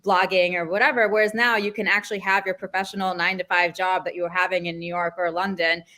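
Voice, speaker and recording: A woman's voice: lowish voice, flat delivery, quick pace, sharp tone. A woman from Canada, a travel journalist speaking fluently and thoughtfully on a panel. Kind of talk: panel discussion.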